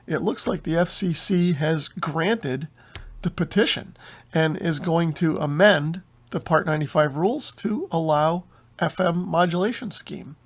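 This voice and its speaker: Higher-pitched man explaining a document. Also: a little slow. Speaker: host of a radio hobby channel. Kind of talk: explanatory commentary while reading through a document.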